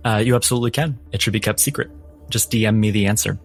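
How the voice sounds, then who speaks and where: Low-voiced male game master over music. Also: fast, creaky. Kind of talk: narrating a tabletop role-playing game. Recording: background music under the voice.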